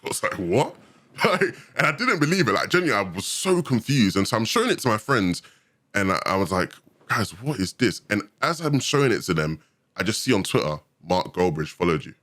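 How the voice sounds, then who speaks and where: A young man in his twenties with a very low voice talks quickly and eagerly, telling his story with excitable energy. The recording is dry and close, with no room sound. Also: no room sound. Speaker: podcast guest. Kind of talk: casual storytelling chat.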